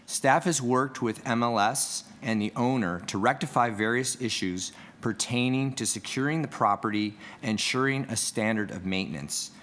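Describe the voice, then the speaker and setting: A man from heritage planning staff presenting a report at a city board meeting, reading prepared remarks in a measured way. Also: medium pitch, a bit bright. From Canada. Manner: measured, reads prepared remarks, asks for next slide. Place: council meeting room.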